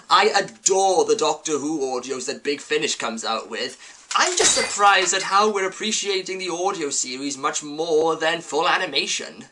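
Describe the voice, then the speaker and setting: Bright-voiced, animated reviewer with music behind. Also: mid-range voice. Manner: opinionated, emphatic. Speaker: host, reviewer. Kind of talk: scripted review commentary.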